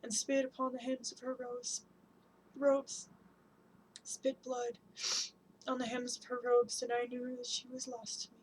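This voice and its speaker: A woman giving a dramatic spoken-word performance, chanting long repeated lists in an incantatory way and swinging between hushed whispers and loud cries. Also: a little high, monotone, measured pace, long pauses, a little hiss or hum, low-quality recording.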